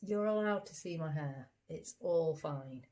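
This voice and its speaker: A woman's voice: low-pitched, a little slow, warm tone. Patient, plain-spoken woman. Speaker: puppeteer acting a dinner guest. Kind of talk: comedy sketch.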